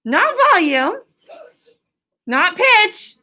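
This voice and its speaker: Lady schoolteacher, really high bright voice, firm, quizzing kids and giving directions. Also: very animated, drawn-out words. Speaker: elementary school teacher. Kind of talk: classroom lesson with question and answer. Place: classroom with pupils answering.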